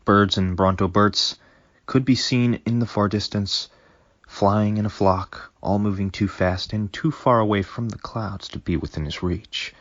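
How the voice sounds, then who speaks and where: A man's voice: fairly low pitch, unhurried, no echo, lo-fi sound. A man reading his own fanfic aloud as dramatic storytelling, sometimes dropping into whispers. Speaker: fanfic reader.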